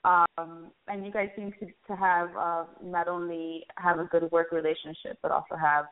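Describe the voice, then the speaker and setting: A woman's voice: fairly low pitch, flat delivery, moderate pace. A woman hosting a casual interview in an encouraging, conversational way. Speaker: interview host. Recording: thin phone-line sound.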